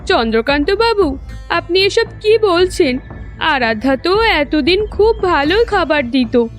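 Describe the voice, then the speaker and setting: A woman's voice: very high voice, very animated, unhurried, faint music behind, heavily acted. A woman voicing a kindly village aunt who pleads and worries in a cartoon drama. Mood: pleading.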